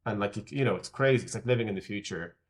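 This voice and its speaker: A man with an Irish accent speaks in a fairly low voice. He answers as a tech executive in a podcast interview, conversational and candid, reasoning out loud and qualifying what he predicts. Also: rapid-fire. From Ireland. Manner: candid, thinking aloud, hedging his predictions.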